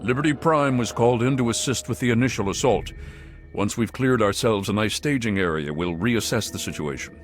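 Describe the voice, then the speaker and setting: An actor voicing a gruff veteran soldier giving clipped, no-nonsense orders in a video game. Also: male voice, on the low side, lively intonation, brisk, faint music behind, lightly acted. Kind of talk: video game cutscene dialogue.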